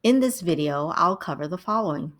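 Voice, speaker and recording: Middle-aged woman, lowish voice, calm and measured tutorial narration. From Vietnam, raised in the United States. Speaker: writing tutor. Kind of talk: scripted instructional narration.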